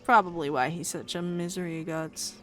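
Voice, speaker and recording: A woman's voice: fairly low pitch, fairly even intonation, moderate pace, soft background music, natural voice. A woman playing a sarcastic, bratty vampire deity in an audio drama, flippant, slangy and impatient. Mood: gossipy, flippant. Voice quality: creaky. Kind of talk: visual-novel audio drama.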